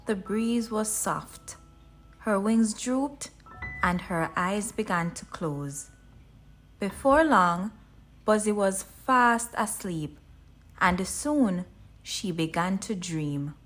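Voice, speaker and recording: A woman reading a scripted children's story as a narrator, expressive and unhurried, with deliberate pauses. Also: medium pitch. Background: background music.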